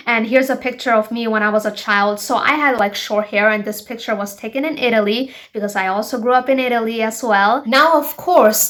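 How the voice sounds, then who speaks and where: A woman's voice: a little high, a bit bright. A woman in her twenties from Bangladesh hosting her own show, talking frankly and emphatically to her viewers. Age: 20s. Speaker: show host. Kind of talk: personal vlog monologue.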